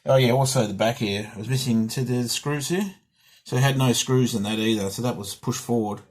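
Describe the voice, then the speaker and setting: A man giving a casual, rambling, unscripted show-and-tell as a hobbyist, halting as he goes, with a slightly husky voice. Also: mid-range voice, darkish tone. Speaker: hobbyist presenter showing a restored CB radio.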